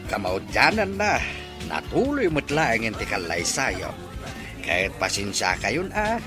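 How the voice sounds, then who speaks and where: A man playing a kindly, pious, humble older father in an audio drama, with an Ilocano accent. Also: on the low side. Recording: music under the dialogue.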